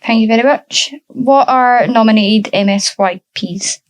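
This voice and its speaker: A woman's voice: fairly high pitch, unhurried. A woman hosting a podcast, reading interview questions and politely thanking her guests after each answer. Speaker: podcast host.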